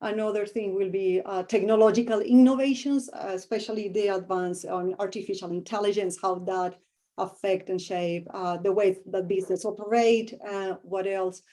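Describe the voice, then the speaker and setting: A woman's voice: mid-range voice, steady tone, moderate pace, mellow. A middle-aged woman with a Colombian Spanish accent, a professor answering interview questions thoughtfully and searching for words with many fillers.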